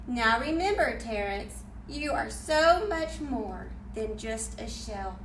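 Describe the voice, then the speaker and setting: Slow-talking woman leading children's storytime, roomy sound. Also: fairly high pitch, lively intonation. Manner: encouraging, simple teacherly phrasing. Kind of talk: children's storytime talk and read-aloud. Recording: roomy, distant mic, faint noise.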